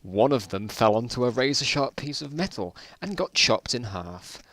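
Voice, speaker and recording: A man's voice: mid-range voice, animated, normal speed, fairly bright tone, dry recording. A man reading his own old stories aloud in a casual dramatic style, with self-mocking, bemused asides.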